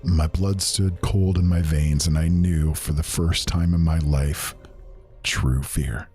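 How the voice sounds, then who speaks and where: Man acting a very deep-voiced, haunted, solemn storyteller. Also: steady tone, darkish tone, soft background music. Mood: horrified, dread. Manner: solemn, halting, archaic phrasing. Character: haunted, grief-stricken man recalling horrors of undead. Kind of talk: dramatic first-person fantasy narration.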